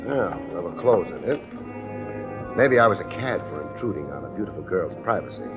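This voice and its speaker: A hard-boiled male private eye from a fifties radio play, speaks in a lowish voice. He is unflappable and wry, tossing off dry wisecracks with a straight face. The old recording is muffled, with prominent music. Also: brisk. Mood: wry, deadpan. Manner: deadpan, dryly wisecracking. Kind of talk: 1950s radio detective drama acting and narration.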